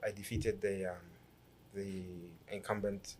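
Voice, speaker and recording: A man from Guyana in his thirties, a podcast guest telling his life story in a lively, halting way with many restarts. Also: lowish voice. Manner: animated storyteller with frequent restarts and repeated words. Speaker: guest, businessman and city councillor. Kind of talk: conversational podcast interview.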